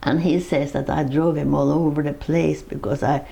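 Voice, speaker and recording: A woman's voice: sits low, flat delivery, moderate pace, creaky, dark tone. An older woman with a Norwegian accent, recalling her life in a personal interview, rambling through her story with laughs and restarts. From Norway.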